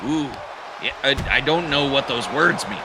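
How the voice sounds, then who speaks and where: A man doing comedic live play-by-play commentary for a wrestling match, rambling through jokes with lots of fillers and bursting into excited calls. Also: medium pitch, moderate pace. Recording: loud crowd and noise behind.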